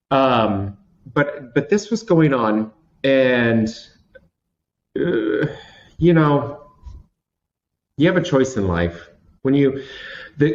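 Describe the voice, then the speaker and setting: Animated man in his thirties, lo-fi sound. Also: mid-range voice. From Washington State, USA. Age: 30s. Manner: animated, theatrical, self-mocking with frequent restarts. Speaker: guest. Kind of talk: candid storytelling in conversation.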